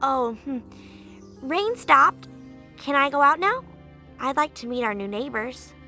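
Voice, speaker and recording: A woman's voice: high-pitched, sing-song, a little slow, bright, forceful tone, soft background music, heavily acted. A woman playing a bored, restless young girl in a video game, sulky and curious, with a whiny, impatient way of talking. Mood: curious, perkier. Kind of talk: video game cutscene voice acting.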